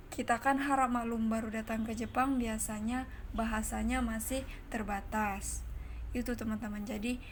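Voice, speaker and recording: A woman teaching a language lesson online. She repeats each example sentence slowly, explains it step by step and keeps turning to her viewers as friends. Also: fairly high pitch, creaky, slight background noise.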